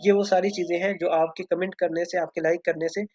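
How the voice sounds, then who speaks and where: A man's voice: high voice, flat delivery, fairly quick, a little mellow. A man with a Pakistani accent giving an informal, earnest explainer to students, instructive and repetitive, mixing English phrases into his speech. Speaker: student-community video host. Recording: dull, lo-fi close dry voice recording.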